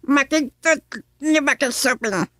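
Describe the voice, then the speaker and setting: A woman playing a scheming, eager underling in a radio comedy drama, with a sly, drawn-out, cackling delivery. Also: sits high, fairly bright tone, no echo, exaggerated voice.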